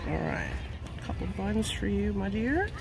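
Lively woman chatting over loud outdoor noise. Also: medium pitch, normal speed. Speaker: home vlogger and mother cooking outdoors. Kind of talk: casual chat while cooking. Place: outdoors at a picnic table on a beach. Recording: loud outdoor beach noise, sizzling pan. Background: sizzling frying, outdoor ambience.

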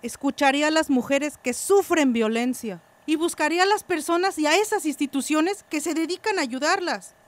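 A woman's voice: high-pitched, dry recording, close to an everyday voice. A woman playing an earnest, principled village mother in a radio drama.

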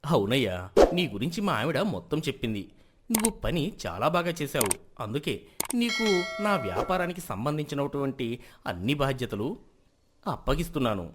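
A man's voice: medium pitch, very animated, normal speed, slight background noise, close to an everyday voice. A man playing a proud, status-minded middle-aged merchant husband in a moral story, self-justifying and then conceding. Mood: satisfied, curious.

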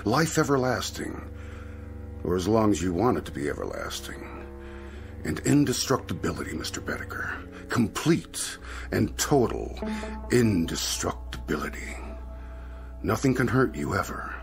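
Silky, animated devil salesman. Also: sits low, gravelly, soft background music, exaggerated voice. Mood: grandly tempting. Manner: silky, coaxing, theatrically drawn-out phrasing. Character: suave devil, a wheedling genteel salesman of bargains. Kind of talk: radio drama acting.

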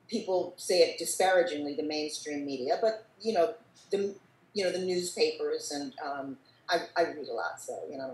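An older American woman thinking aloud in a panel discussion, often saying "you know", recorded over a video call. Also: fairly low pitch. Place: book-lined room at home. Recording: roomy, distant video-call sound.

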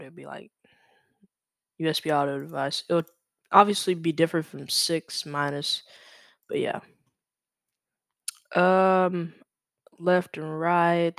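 A young man from Louisiana hosting a casual, unscripted screen-share tutorial, halting and correcting himself as he goes. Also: fairly high pitch, creaky, fairly bright tone, no room sound, clean studio sound. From Louisiana, United States. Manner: hesitant, halting, self-correcting. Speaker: tutorial host. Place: indoors at a computer. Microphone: USB microphone.

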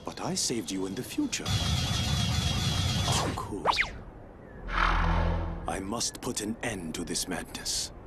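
An actor playing a stoic, earnest samurai warrior in an animated game, with a solemn and dramatic delivery. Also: male voice, low-pitched, slow, pauses often, loud music behind the voice. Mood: grave, resolute. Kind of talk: animated video game acting.

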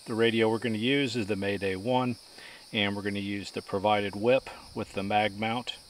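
A man's voice: fairly low pitch, fairly even intonation, normal speed, slight background noise. A middle-aged man giving scripted technical narration in precise, formal wording as host of a radio-testing video.

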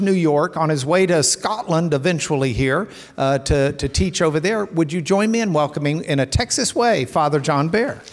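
A middle-aged man with a handheld mic, introducing a guest speaker in an animated, slightly halting way. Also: a little high. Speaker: event host. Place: church stage, indoors. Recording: long church reverb.

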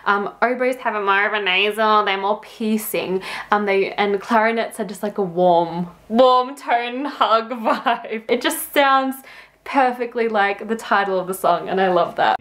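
A woman's voice: medium pitch, unhurried, a bit bright. A woman in her twenties hosting a music reaction video, talking casually and enthusiastically, thinking aloud and laughing often. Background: faint music playing.

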